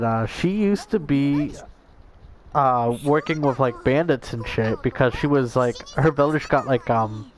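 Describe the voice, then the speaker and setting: Young male streamer, mid-pitched voice, loose slangy chatter, frequent swearing, casual gaming commentary. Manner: rambling, profane, slangy.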